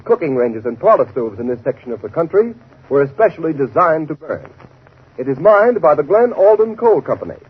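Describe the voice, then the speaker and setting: Old time american radio ad guy, highish and very animated, salesman delivery, tinny recording. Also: slightly rough. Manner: emphatic, salesmanlike. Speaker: radio announcer and pitchman. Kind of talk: scripted 1930s radio commercial.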